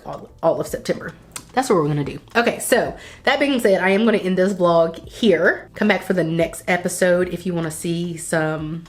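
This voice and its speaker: A woman in her thirties chatting casually to camera as a vlogger. She sounds stuffy and congested and rambles with sighs and coughs. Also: lowish voice. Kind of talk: casual chat.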